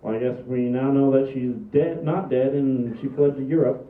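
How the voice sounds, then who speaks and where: A man's voice: mid-range voice, fairly even intonation, moderate pace, lightly acted. Guy doing exasperated dutiful son in a comedy read, dry, far from mic, tinny. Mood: exasperated, puzzled. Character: exasperated, dutiful adult son holding his family together. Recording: echoey, distant, thin phone-like room recording.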